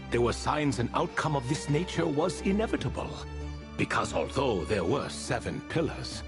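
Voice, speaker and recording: An actor playing an ancient proud male spirit retainer bound in a relic, telling his tale with dry amused asides in a video game cutscene. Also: mid-range voice. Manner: wry, stately storytelling with dry amused asides.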